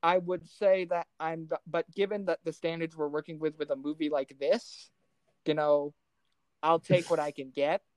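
A podcast co-host talking casually about films in an animated, exasperated way, with some mild swearing. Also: mid-range voice, moderate pace, smooth, dry recording, rough recording. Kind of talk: casual film discussion.

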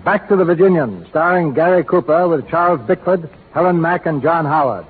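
A man narrating as a 1930s radio theatre announcer, formal and measured in his scripted delivery. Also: on the high side, breathy edge. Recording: muffled 1930s radio recording with music.

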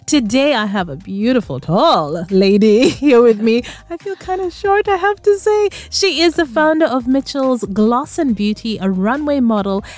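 Lively-voiced woman hosting a radio interview. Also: fairly high pitch, normal speed, few pauses, no room sound. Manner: warm, curious, affirms guest with frequent mm-hmms. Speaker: radio show host. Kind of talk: casual interview chat.

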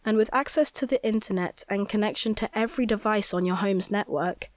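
A woman's voice: medium pitch, brisk, dry recording, lightly acted. A young boy acted by a woman reads aloud for a children's audio story. The voice is mid-range for a woman and recorded very dry and close. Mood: reading aloud. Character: young boy reading a product leaflet aloud. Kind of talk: children's audio drama acting, reading aloud.

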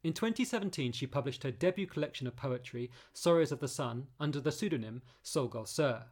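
Husky-voiced male podcast host. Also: medium pitch, animated, a little fast. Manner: soft-spoken, hesitant follow-up questions. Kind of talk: literary interview podcast.

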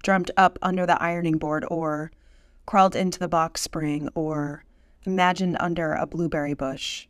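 Lady poet reading her poems, pretty low voice, dry studio-ish sound. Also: steady tone, normal speed, no echo. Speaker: poet reading own work. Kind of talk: literary poetry reading.

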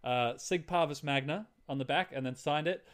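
A man co-hosting a podcast, chatting casually and excitedly like an enthusiastic fan about films and games. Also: a little high, normal speed. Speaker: podcast co-host.

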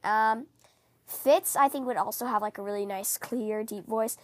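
A woman hosting a casual book-fan podcast, chatting in a rambling, animated, giggly way, her voice scratchy from a cold. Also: fairly high pitch, moderate pace. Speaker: podcast host.

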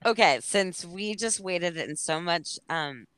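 A woman's voice: on the low side, expressive, slow pace, a bit bright, clear, resonant tone, no echo. A woman hosting a casual podcast chat, informal and slangy, with an enthusiastic way of talking. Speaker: podcast host.

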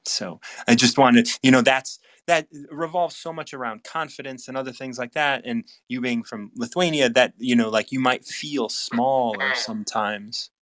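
A man's voice: medium pitch, fairly even intonation, moderate pace, hardly any pauses, fairly bright tone, no room sound. A man hosting a casual live chat, speaking earnestly with many repeated words and restarts. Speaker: host.

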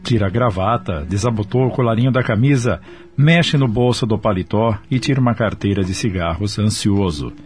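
A man narrating a scripted radio drama in a measured, dramatic storytelling style. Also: on the low side, darkish tone. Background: music in the background.

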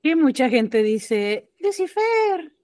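A woman co-hosting a podcast, adding quick, teasing interjections. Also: high voice, very animated, darkish tone, no echo. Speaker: podcast co-host. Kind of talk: casual podcast chat.

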